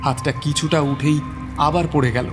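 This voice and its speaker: A male narrator. He tells a horror story in a dramatic, suspenseful manner, with clear music playing behind him. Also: a little high, fairly even intonation, normal speed. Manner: theatrical, suspenseful.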